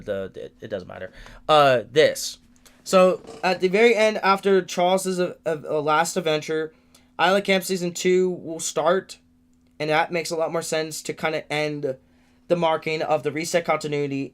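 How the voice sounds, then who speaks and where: A man's voice: fairly high pitch, steady tone, normal speed. A nineteen-year-old man giving a rambling livestream update from a room in his family home, venting with frequent restarts and repeated words. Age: late teens. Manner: frustrated, venting.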